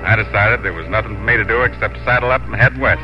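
An actor playing an earnest, proud young immigrant cattle drover in 1950s radio drama acting and narration. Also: male voice, on the low side, fast, lightly acted. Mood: reflective, narrating. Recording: muffled old radio broadcast, music.

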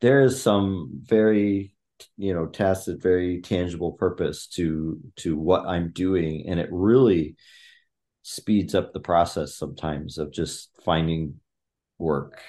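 A middle-aged man with a deep, low-pitched voice talks through his family life in a relaxed interview. He speaks reflectively and in fits and starts, full of fillers and restarts. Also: slow pace. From Thailand. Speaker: podcast guest. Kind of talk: conversational interview.

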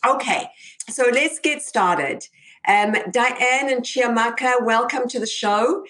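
A woman's voice: fairly high pitch, animated, slow, a bit bright, poor audio. A woman hosting an interview show, introducing topics and putting questions to guests. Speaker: host.

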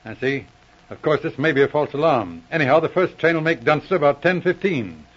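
A brisk, earnest police inspector in an old radio adventure. The voice is slightly high and a little husky, clipped and commanding, on a dull vintage recording. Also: male voice, animated, fairly bright tone. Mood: businesslike. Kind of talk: 1940s radio drama acting.

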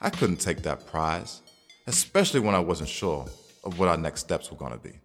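A young single lover in an audio drama, brash and slangy yet caring at heart. His low voice moves around expressively over faint music. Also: big pitch swings, moderate pace, a bit bright, heavily acted. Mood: candid narration. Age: young adult. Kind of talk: scripted audio drama acting and first-person narration. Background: background music.

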